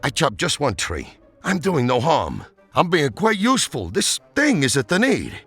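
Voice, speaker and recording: A man playing a secretive old storybook hermit, wistful yet self-justifying, telling his tale in a drawn-out, dramatic way. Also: on the high side, huge pitch swings, a bit bright, slightly rough, soft background music, voice pushed up, exaggerated voice. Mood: defensive, salesy.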